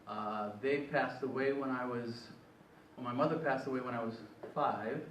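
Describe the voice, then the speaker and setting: A man's voice: mid-range voice, steady tone, moderate pace, fairly bright tone. A man in his sixties shares his life story at a panel, rambling and often correcting himself. He sounds distant from the mic in a roomy, echoing hall. A faint noise sits underneath. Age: 60s. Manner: rambling, self-correcting, hesitant. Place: event hall. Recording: echoey room, far from mic.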